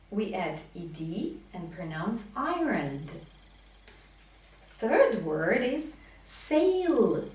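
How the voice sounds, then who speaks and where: Slow, echoey woman teaching pronunciation. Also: high-pitched, darkish tone. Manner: deliberate, emphatic enunciation of single words. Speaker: English teacher. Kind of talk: pronunciation lesson with drilled example words. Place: small indoor classroom with whiteboard. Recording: very echoey room, distant microphone.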